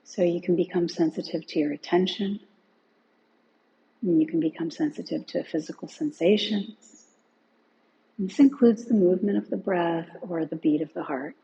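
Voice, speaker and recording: A woman teacher leading a guided relaxation in a gentle, unhurried way, leaving long pauses between phrases. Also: on the low side, gravelly, muffled recording. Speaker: meditation and yoga teacher.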